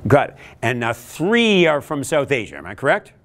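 A middle-aged man from Canada running an energetic leadership workshop in a large classroom, upbeat and animated, calling out loudly to the room, recorded by a camera across the room. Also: medium pitch, moderate pace. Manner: upbeat, animated, with sudden loud calls to the room. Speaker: leadership trainer. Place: large classroom, standing before a crowd of students. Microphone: far camera.

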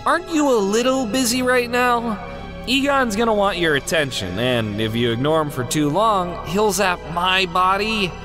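A fearless wisecracking scientist acted by a man with an American accent, in a comic dub. He is sardonic and casual, pitched a bit high and very animated, over a music bed. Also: normal speed. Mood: flippant. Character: wisecracking, fearless, sarcastic male ghost-hunting scientist. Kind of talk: comic dub character acting.

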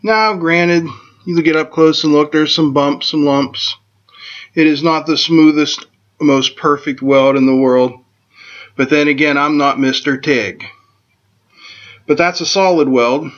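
A man's voice: on the high side, a little slow, pauses often, highs cut off. Folksy man teaching in a welding shop. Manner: plainspoken and folksy, jokes at his own expense. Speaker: welding instructor and host. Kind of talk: casual how-to demonstration. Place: home welding workshop, indoors.

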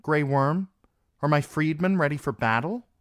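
A man's voice: fairly high pitch, expressive, moderate pace, no echo, clean studio sound, pitched above the performer's own voice, close to an everyday voice. A man voicing a young queen who speaks firmly and with command. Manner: firm, commanding.

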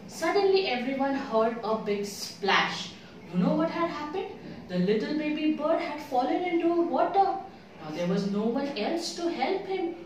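A woman reading aloud to children in an expressive storytelling way, putting questions to the listener. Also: high-pitched. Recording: very echoey room, distant mic, faint noise.

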